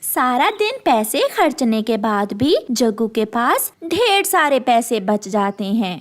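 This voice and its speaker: A woman narrates a children's story. Her voice is high, and she delivers scripted storytelling lines. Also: sing-song, takes it slowly.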